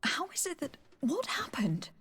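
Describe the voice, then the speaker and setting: An actress playing a protective, level-headed young noblewoman adventurer in a fantasy game, with a breathy voice and earnest, direct delivery. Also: sits high, wildly swooping pitch, unhurried, a bit bright, in a whisper, exaggerated voice. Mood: concerned, questioning. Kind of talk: dramatic fantasy game acting.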